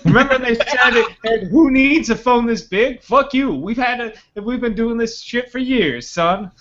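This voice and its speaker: A man's voice: very high voice, a little slow. A man co-hosting a casual podcast, joking around and riffing on silly bits. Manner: animated, goofy bits and silly voices. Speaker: podcast co-host. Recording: dull lo-fi podcast audio.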